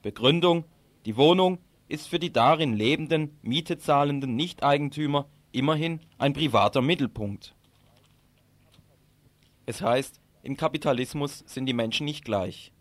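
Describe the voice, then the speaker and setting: A man's voice: a little high, measured pace, dry recording. A man reading scripted radio commentary and putting questions as a presenter and interviewer.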